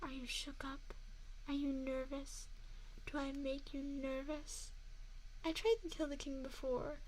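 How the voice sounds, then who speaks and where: Girl doing a high pitched vampire lady, teasing n threatening, asmr roleplay right up on the mic, bit of noise behind. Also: level tone, a little slow, darkish tone, low-quality recording. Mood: taunting, scheming. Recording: faint background noise, close binaural mic.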